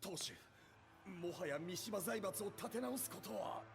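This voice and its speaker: A man's voice: sits high, brisk, creaky, over music. A man playing an urgent, deferential subordinate reporting to his lord in a video game, in a reverberant space. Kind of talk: dramatic video game cutscene acting.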